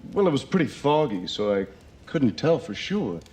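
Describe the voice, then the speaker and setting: An actor playing a shady, wisecracking adventurer in a game cutscene, chatty and sarcastic. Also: male voice, medium pitch, very animated, normal speed, darkish tone, a little hiss or hum, close to an everyday voice. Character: wisecracking shady male adventurer, middle-aged. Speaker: game character.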